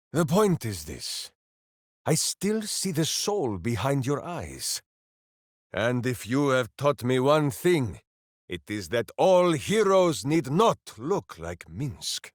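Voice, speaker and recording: An actor voicing a big, simple-minded, hearty berserker hero in a fantasy video game, declaiming with bombastic earnestness. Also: male voice, mid-range voice, dry recording, clean studio sound, exaggerated voice. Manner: bombastic, earnest, grandly declaiming, speaks of himself by name. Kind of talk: theatrical fantasy video game character acting.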